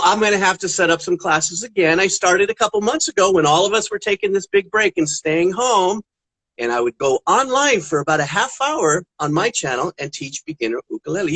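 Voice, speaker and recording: A man chatting as a guest music teacher on a children's puppet show, gently explaining things in a rambling way. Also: high voice, poor audio.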